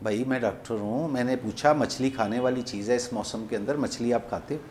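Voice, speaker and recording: A man with a Pakistani accent making a prank phone call, rambling and repeating himself in deliberately confusing improvised banter. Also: mid-range voice, darkish tone. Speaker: prank caller hosting a comedy show.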